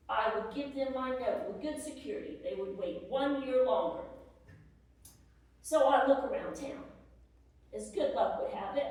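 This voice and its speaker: Casting: actress as determined, hard-pressed 1860s farm wife, high voice, rustic storytelling in a dramatic letter reading, very echoey room. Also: female voice. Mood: resolute. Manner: plain-spoken, rustic storytelling with old country grammar. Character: determined, struggling Civil War farm wife writing to husband. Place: live presentation in an echoey room. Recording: echoey room, distant microphone.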